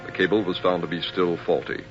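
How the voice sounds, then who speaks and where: A man narrating a scripted 1950s radio drama in a measured, dramatic storytelling manner. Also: low-pitched, steady tone. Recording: muffled 1950s radio recording, loud music.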